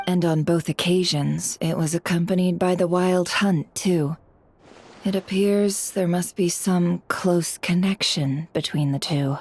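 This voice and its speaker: A woman voicing a cool, sardonic, detached dealer in secrets in a video game, with a husky, dry delivery. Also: on the low side, fairly even intonation, a little slow, darkish tone, slight background noise. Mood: serious, cool.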